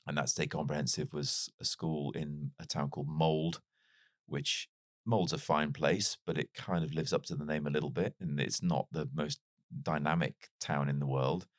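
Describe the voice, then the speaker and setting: Very deep-voiced reflective British man. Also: fairly even intonation, moderate pace, dry recording, clean studio sound. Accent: mild British English, not strongly Welsh. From North Wales. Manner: reflective, wry, self-deprecating. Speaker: podcast guest. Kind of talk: casual interview storytelling.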